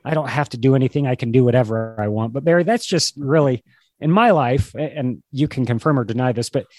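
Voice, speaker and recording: A man co-hosting a podcast in casual conversation, a bit congested from a cold, speaking in an earnest, halting, wordy way. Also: mid-range voice, a little mellow, no room sound, studio quality. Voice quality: slightly stuffy, congested. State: struggling with a cold. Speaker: podcast co-host. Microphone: video call.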